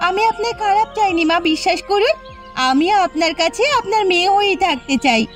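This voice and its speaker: A woman playing a gentle, hurt young daughter-in-law in an animated family drama, pleading earnestly. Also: very high voice, a little fast, faint music behind. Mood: tender, earnest.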